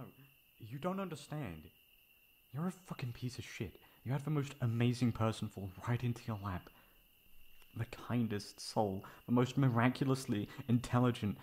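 A man playing a caring, protective young best friend in an intimate ASMR roleplay, with a soft, breathy voice. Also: medium pitch, close to an everyday voice. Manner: intimate, comforting, casual. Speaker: voice actor.

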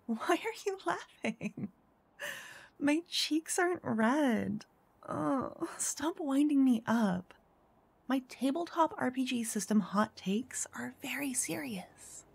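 Woman, breathy whisper, teasing and flirty, close binaural mic, unhurried. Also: high-pitched, lively intonation. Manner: teasing, flirty, switching between whispers and soft speech. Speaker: ASMR roleplay performer as a dungeon master. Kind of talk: soft-spoken ASMR roleplay, whispering into each ear.

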